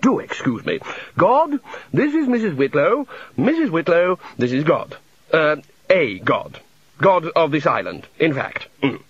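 A man's voice: a little high, big pitch swings, a little slow, breathy edge, dry recording, pitched above the performer's own voice, full character voice. A man voicing a blustering older male wizard leader who trips over introductions. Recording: slightly dull, dry audiobook recording.

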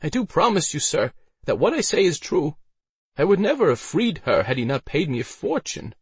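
Female tavern keeper, voiced by a man, storybook role: high, pleading, defensive, insistent. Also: big pitch swings, normal speed, slightly rough, no room sound, full character voice. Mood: pleading, earnest. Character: defensive, pleading woman innkeeper.

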